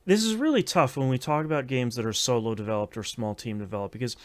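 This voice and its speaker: A man's voice: medium pitch, fast talker. A man co-hosting a video game podcast, talking casually with lots of fillers and restarts. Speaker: podcast co-host. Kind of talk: casual chat.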